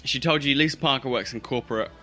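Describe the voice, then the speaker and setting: A man's voice: mid-range voice, quick pace, bright, forceful tone, soft background music. A man speaking casually as game master, improvising narration and rulings for a tabletop roleplaying game.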